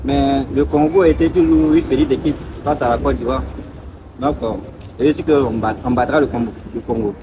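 A man's voice: mid-range voice, fairly even intonation, moderate pace. A man, answering casually in a street interview. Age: 20s. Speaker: interviewed passer-by. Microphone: handheld microphone. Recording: street recording with faint traffic noise.

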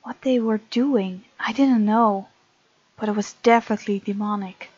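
A woman's voice: medium pitch, fairly even intonation, moderate pace, a little mellow, breathy edge, no echo. Chatty woman on a dull mic. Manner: chatty, giggly, reacts aloud to the story. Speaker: game streamer reading a visual novel aloud. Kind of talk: let's play, reading aloud with casual asides.

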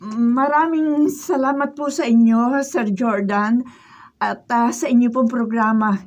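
A woman's voice: fairly high pitch, steady tone, measured pace, darkish tone, natural voice. A woman playing a humble, loving single mother in a Filipino radio drama, earnest and emotional. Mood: grateful, moved. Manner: tearful, earnest, emotional delivery. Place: radio drama recording studio. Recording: faint noise, behind-the-scenes studio recording.

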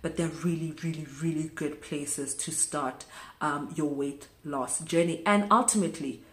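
A woman from South Africa talks straight to a close camera in a small shelved room. Her voice sits on the low side, and the room adds a noticeable echo. She chats casually and leans on repeated words for emphasis. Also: fairly even intonation, normal speed. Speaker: vlogger. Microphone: camera close in front. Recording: echoey room.

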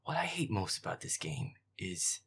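A sarcastic man gives casual, sweary gaming commentary, muttering to himself. His breathy voice often drops toward a whisper, then swings widely in pitch. There is a gravelly creak in it. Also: big pitch swings, moderate pace, a bit bright. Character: feisty werewolf boyfriend playing a scary game. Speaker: gamer playing a horror game. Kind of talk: casual gameplay commentary to a listener.

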